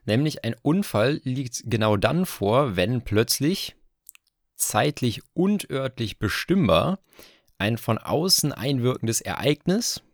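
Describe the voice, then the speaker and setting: A man co-hosting a podcast, explaining topics in a brisk, casual way and laying out definitions slowly and deliberately. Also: mid-range voice, no room sound, studio quality. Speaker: podcast co-host.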